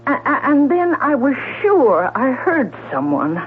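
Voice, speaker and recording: A woman's voice: high-pitched, big pitch swings, unhurried. An actress playing a frightened, self-doubting woman in a radio drama, hesitating, stammering and repeating herself. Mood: frightened, shaken. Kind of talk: 1970s radio drama acting. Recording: noisy, telephone-like old radio broadcast, hum.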